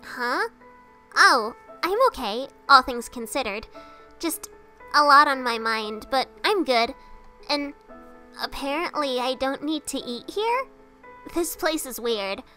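A woman's voice: sits high, lively intonation, slow, sharp tone, soft background music, exaggerated voice. A woman voicing a small, earnest, boyish skeleton hero in a comic dub, youthful and hesitant, anxious but kind. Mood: reassuring.

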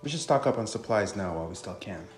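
An earnest, troubled young fighter from a visual novel, as a man reads him, with a lowish voice. He speaks in a roomy space over clear music. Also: lively intonation, fast, natural voice. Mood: grateful, practical. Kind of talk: visual novel dialogue read aloud.

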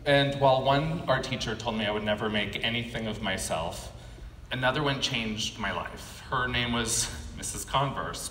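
An American man in his thirties giving a prepared autobiographical keynote speech, telling his story in measured short phrases with pauses. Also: fairly low pitch, sharp tone. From California, United States. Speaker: keynote speaker, animation artist. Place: conference stage at a podium, indoors. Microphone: podium gooseneck microphone. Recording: echoey hall PA, faint background noise.

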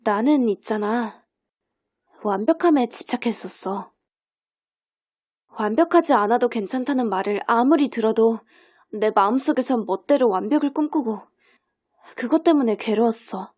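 A woman's voice: on the high side, moderate pace, long pauses, a little mellow, close to an everyday voice. A woman playing a determined, caring teenage girl idol trainee in an audio drama, earnest and heartfelt. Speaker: amateur voice actor. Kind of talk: Korean audio drama acting.

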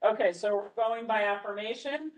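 A woman's voice: mid-range voice, normal speed. A woman chairing a board meeting, moving through procedural votes. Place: hybrid community board meeting room. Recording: distant mic in meeting room.

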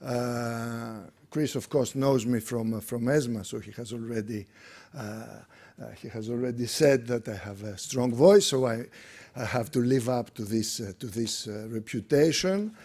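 A man's voice: medium pitch, unhurried, a little mellow. A middle-aged man from Greece with a Greek accent, giving an unscripted conference talk full of fillers and restarts. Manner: hesitant, many fillers and restarts. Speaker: conference speaker. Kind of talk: unscripted lecture. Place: conference room.

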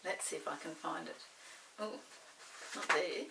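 A woman reading a children's story in an expressive, measured way. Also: low-pitched, distant mic, background noise. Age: 60s. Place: small indoor set with dark backdrop.

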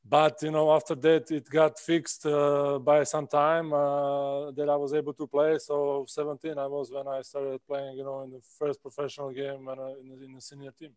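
A man in his thirties with a Czech accent talks haltingly, stopping and restarting his sentences. His voice is smooth and clean, recorded close and dry on a laptop mic at home. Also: on the high side, completely flat delivery, a little mellow, dry recording. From Czech Republic. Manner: halting, many "uh" pauses and restarts. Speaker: guest basketball player. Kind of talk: casual interview answers. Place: indoor room at home. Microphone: video call laptop mic.

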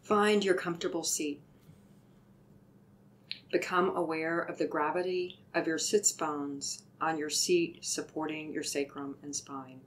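A woman with a low voice guides a meditation at a very unhurried pace. Her delivery is soothing and deliberate, giving each instruction gently. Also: fairly even intonation, low-quality recording.

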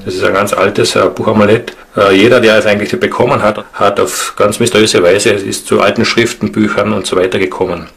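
A man explaining things spontaneously in an interview, halting and earnest. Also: lowish voice, steady tone, creaky, fairly bright tone, soft background music. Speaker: interviewee, esoteric practitioner.

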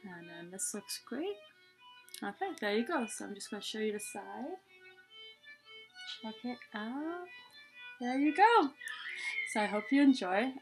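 A woman's voice: on the high side, very animated, a little slow, long pauses, over music, low-quality recording. A young woman talking casually and chattily through a hands-on tutorial, explaining step by step as its host. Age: young adult. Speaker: head scarf tutorial host. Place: small room indoors, plain wall.

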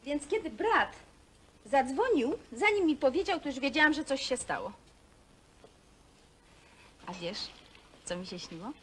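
An actress playing a naive, fretful sweetheart in a film, gushing and rambling in a folksy way. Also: female voice, high voice, sing-song, unhurried, long pauses. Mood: eager storytelling. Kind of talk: naturalistic film acting. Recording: 1970 film soundtrack with faint noise.

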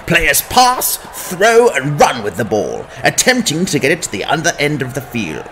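A man's voice: on the high side, huge pitch swings, normal speed, fairly bright tone, soft background music, exaggerated voice. A man voicing a jovial sports commentator with a folksy, theatrical showman's delivery in a parody broadcast. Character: jovial male sports commentator explaining brutal fantasy game.

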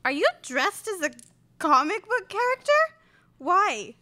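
A woman's voice: extremely high pitch, sing-song, unhurried, bright, forceful tone, dry recording, heavily acted. A woman playing a whiny, nosy, boy-crazy teenage sister in a live radio comedy, full of filler words and exclamations. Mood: shrill, demanding. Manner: whiny, valley-girl filler talk, exclaiming. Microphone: stand microphone.

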